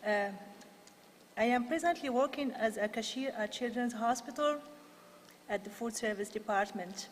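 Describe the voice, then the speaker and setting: A woman from Morocco with a Moroccan accent, giving a simple public speech in halting English. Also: a little high. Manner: halting, hesitant delivery. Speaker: English student giving a testimonial. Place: large indoor hall, public event. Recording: noisy echoey hall.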